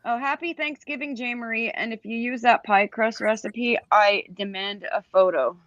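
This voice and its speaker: A woman's voice: on the high side, normal speed, no echo, muffled recording. A woman co-hosting a casual group chat show. She explains things at length in a chatty way. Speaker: podcast co-host.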